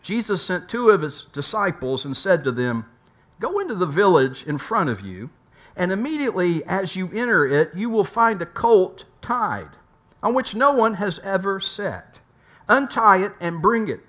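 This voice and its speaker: A man's voice: sits high, big pitch swings, normal speed, fairly bright tone. A man preaching a sermon in a conversational way, asking rhetorical questions and sometimes repeating words as he goes. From United States. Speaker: pastor.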